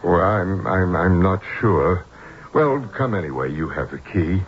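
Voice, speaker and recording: Radio drama lead: jumpy American businessman, lowish pitch, on a hissy, dull vintage recording. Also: expressive, unhurried. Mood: edgy, strained. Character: jumpy, brisk American businessman, a husband under strain. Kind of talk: old-time radio drama acting. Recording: muffled, noisy old radio broadcast.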